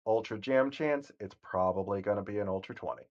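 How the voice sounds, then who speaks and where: A man talks through a game stream in a calm, soothing voice that drifts and corrects itself as he goes. His voice sits low, and the stream audio is dull and lo-fi. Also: brisk. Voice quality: soothing, sleep-inducing voice. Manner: calm, rambling, self-correcting. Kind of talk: casual gameplay commentary.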